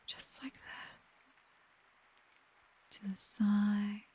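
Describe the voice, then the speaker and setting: Whispering, breathy British vampire mistress, played by a woman. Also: mid-range voice, mellow, full character voice. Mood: seductive, hungry. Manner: slow, tender, teasing, possessive. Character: possessive, doting vampire noblewoman, commanding mistress to her butler. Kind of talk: intimate ASMR roleplay. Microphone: close microphone, dry.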